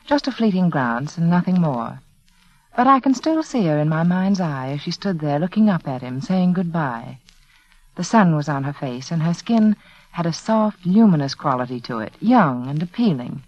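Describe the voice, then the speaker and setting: A woman speaks as a 1940s radio hostess with a lowish, very expressive voice. The delivery is polished and persuasive, heard through a muffled vintage recording. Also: moderate pace. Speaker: radio show hostess and sponsor spokeswoman. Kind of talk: 1940s scripted radio commercial narration.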